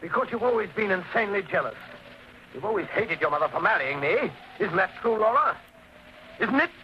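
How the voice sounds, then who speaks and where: A man's voice: high voice, big pitch swings, brisk. A man playing an agitated, bullying stepfather who questions accusingly, in old-time radio suspense style. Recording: muffled, noisy 1940s radio recording.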